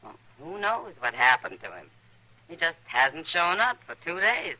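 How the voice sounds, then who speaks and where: An actress playing a wry, world-weary saloon boss in an old radio western, plain-spoken and dry. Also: female voice, low-pitched, big pitch swings, measured pace, pushed and bright, heavily acted, low-quality recording. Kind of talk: 1950s radio western acting.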